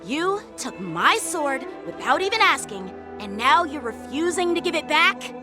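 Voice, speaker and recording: A woman's voice: sits high, sing-song, unhurried, music in the background. A woman voicing a proud, earnest teenage boy swordsman in a video game, formal and easily indignant.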